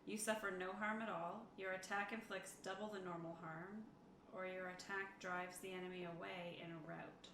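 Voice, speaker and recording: A woman's voice: on the low side, steady tone, normal speed. A woman playing a tabletop game, chatting casually and going over the rules in a helpful, matter-of-fact way. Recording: echoey room with noticeable background noise.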